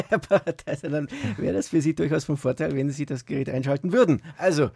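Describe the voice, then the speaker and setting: Creaky-voiced, officious bank funding clerk. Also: male voice, on the high side, warm tone, no echo. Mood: sceptical, amused. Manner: halting, officious, full of hesitations. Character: fussy, sceptical male development-bank funding officer. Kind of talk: improvised-sounding radio satire sketch.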